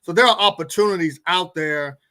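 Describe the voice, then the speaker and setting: A middle-aged man with a fairly high voice talks earnestly in a talk-show conversation. He often doubles back on words as he works toward his point. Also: a little fast, sharp tone. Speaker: guest pastor and co-host.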